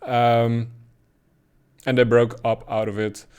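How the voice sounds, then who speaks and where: A man's voice: medium pitch, slow pace, smooth. Dutch-accented man talking over charts. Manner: relaxed, thinking aloud with many ums and uhs. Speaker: crypto chart analyst. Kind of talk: casual market commentary over charts.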